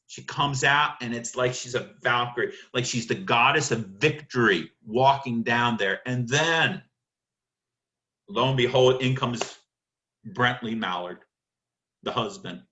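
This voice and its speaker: Male literature lecturer. Sharp, bright delivery, emphatic and theatrical, asks and answers his own questions. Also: medium pitch, measured pace. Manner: emphatic, dramatic, rhetorical questions answered by himself.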